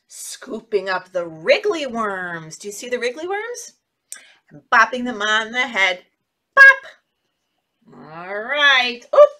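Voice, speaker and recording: A middle-aged woman hosting children's story time, reading and talking expressively with drawn-out, exaggerated words. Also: a little high, bright, forceful tone. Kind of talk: animated picture-book read-aloud for young children. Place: small indoor room.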